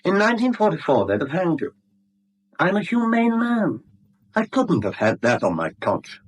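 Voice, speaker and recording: A genial blackmailer in a seventies radio play. His delivery swoops with relish, and the voice has a slightly husky edge. He is suave and wordy, teasing his victim with mock courtesy. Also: sits high, huge pitch swings, normal speed, full character voice. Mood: silkily threatening. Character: smug, genial, middle-aged male blackmailer, mockingly courteous. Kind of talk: 1970s radio drama acting.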